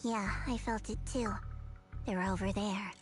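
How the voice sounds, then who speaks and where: An actress playing a calm, curious and observant female comrade in a video game. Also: mid-range voice, unhurried, loud music behind the voice, lightly acted. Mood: alert.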